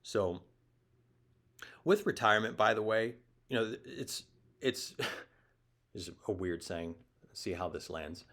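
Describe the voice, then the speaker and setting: A man's voice: lowish voice, a bit bright. A man in his thirties hosting his own show, talking in a casual, rambling, emphatic monologue. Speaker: show host.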